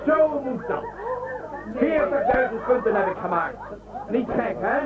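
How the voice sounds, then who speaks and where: An actor playing an arrogant, imperious emperor of a space empire in a radio drama, scornful and commanding. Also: male voice, very high voice, lively intonation, measured pace, recorded from a distance, narrow, tinny audio. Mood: excited, barking. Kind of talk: Dutch radio drama acting. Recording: thin band-limited radio recording, crowd behind.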